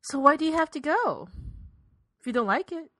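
A woman's voice: sits high, expressive, moderate pace, sharp tone. A woman interviewing in an improvised comedy piece, playing it straight and often hesitantly repeating her words.

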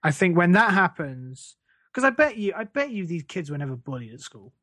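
A man's voice: high-pitched, big pitch swings, fairly quick, bright, forceful tone, dry recording. Man with a very dry, close sound. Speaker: podcast co-host. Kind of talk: casual comic storytelling.

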